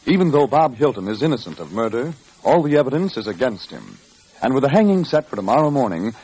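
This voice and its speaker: A man's voice: medium pitch, darkish tone, husky, rough recording. A man with an American accent narrating an old-time radio western in a dramatic announcer manner.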